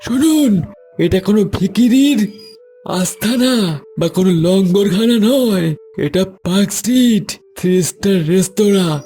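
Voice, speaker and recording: A man's voice: sits high, normal speed, warm tone, faint music behind, natural voice. An actor playing an arrogant rich businessman in a cartoon, theatrical and haughty, dropping in English phrases. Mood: angry, contemptuous. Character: arrogant rich businessman, contemptuous, doting father. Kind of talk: cartoon voice acting.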